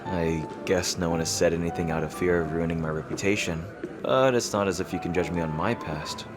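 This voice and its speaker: A man playing a guarded, flustered, gently teasing rival fantasy king in an intimate roleplay audio drama, speaking hesitantly. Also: low-pitched, steady tone, over music, lightly acted. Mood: defensive. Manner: hesitant, halting.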